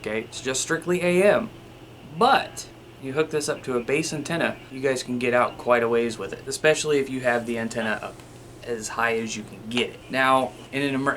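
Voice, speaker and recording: A man's voice: mid-range voice, a bit bright. Casual man explaining, noisy recording. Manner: informal, conversational, repeatedly addressing viewers as you guys. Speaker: video host. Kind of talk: casual instructional talk, demonstrating radio gear.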